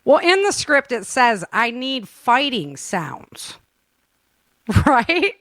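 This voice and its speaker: A woman hosting a podcast in casual chat, telling stories in an animated, giggly way. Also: fairly high pitch, takes it slowly, dry recording, clean studio sound. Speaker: podcast host and audio drama creator.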